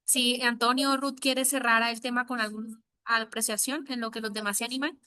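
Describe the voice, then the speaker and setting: A woman moderating an online workshop over a video call, in a friendly and relaxed way. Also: fairly high pitch, a little fast, dry recording, clean studio sound.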